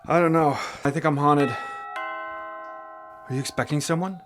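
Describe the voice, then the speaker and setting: A man's voice: on the high side, measured pace, a bit bright, faint music behind. An actor playing a bewildered, haunted man in an audio drama, hesitant and unsure. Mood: rattled. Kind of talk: scripted audio drama acting.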